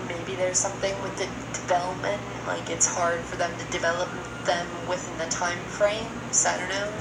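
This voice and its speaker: A woman's voice: fairly low pitch, little pitch movement, normal speed, vocal fry, very noisy. A woman co-hosting a podcast, chatting casually about video game news in a loose, agreeable way. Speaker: podcast co-host. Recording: roomy echo, loud music behind.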